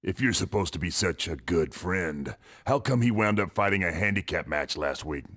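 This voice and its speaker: An actor playing a blunt, wary wrestler in a game cutscene, measured and sardonic. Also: male voice, low-pitched, animated, a bit bright. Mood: skeptical, challenging. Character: blunt, wary male wrestler giving hard advice. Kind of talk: video game cutscene acting.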